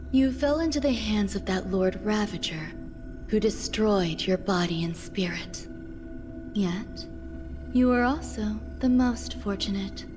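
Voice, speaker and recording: A woman's voice: medium pitch, close to an everyday voice. Woman playing composed, mysterious healer over music. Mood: cool, composed. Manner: measured, detached, matter-of-fact. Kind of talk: dramatic video game cutscene dialogue. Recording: music under the voice.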